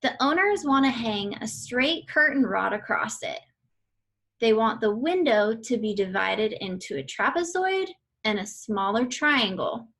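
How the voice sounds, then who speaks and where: A woman reading aloud an educational book for children in a deliberate, instructional way. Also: on the high side, fairly bright tone. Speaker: read-aloud reader for children.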